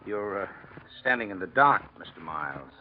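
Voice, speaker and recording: An actor playing a sly homicide lieutenant in a 1940s radio crime drama, casual, hesitant and insinuating. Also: male voice, lowish voice, lively intonation, normal speed. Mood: slyly probing. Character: sly, quietly probing male homicide police lieutenant. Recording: muffled, faintly noisy old radio recording.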